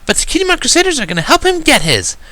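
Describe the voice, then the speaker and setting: A man voicing an excitable, sweet little filly with a squeaky falsetto in a story reading. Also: rapid-fire, no room sound, full character voice. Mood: eager. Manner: eager, childlike, bubbly.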